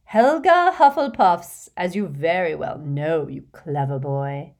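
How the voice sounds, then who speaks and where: A woman's voice: sits low, lively intonation, takes it slowly, darkish tone, full character voice. A woman voicing a doting, gushing wealthy old woman who shows off her treasures in a fawning, breathless way, dropping now and then to coy whispers.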